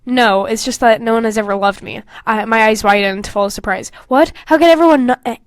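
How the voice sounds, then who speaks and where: A young woman co-hosting a casual podcast, animated and sweary, with self-mocking asides. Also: on the high side, a little fast. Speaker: podcast co-host. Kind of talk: casual podcast chat, reading old fan fiction aloud with mocking commentary.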